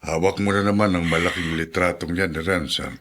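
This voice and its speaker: A man's voice: deep voice, steady tone, fairly quick, fairly bright tone. A man playing an opinionated, reassuring elderly father in a Filipino radio drama. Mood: reproachful.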